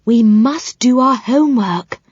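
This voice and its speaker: A woman puts on a worried fairy girl from a children's story, whispering urgently and rising in pitch. The recording is dry and close. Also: very animated, measured pace, dry recording, lo-fi sound.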